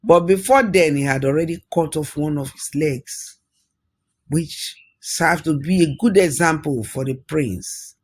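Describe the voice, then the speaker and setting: A man's voice: a little high, measured pace, breathy edge. A man narrating a folktale as a storyteller, dramatic, repeating words for emphasis.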